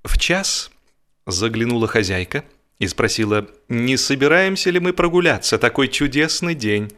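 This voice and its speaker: A man reading a humorous literary story aloud in an expressive, wry, theatrical storytelling manner. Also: medium pitch, normal speed. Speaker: audiobook narrator and actor.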